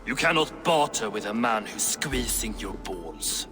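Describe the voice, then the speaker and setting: An actor playing a gruff, sly dwarf smith of magic in a video game, blunt and salty with a wry streak. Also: male voice, fairly low pitch, animated, a little slow, sharp tone. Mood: gruff, irritable. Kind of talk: video game cutscene acting. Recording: reverberant hall with music behind.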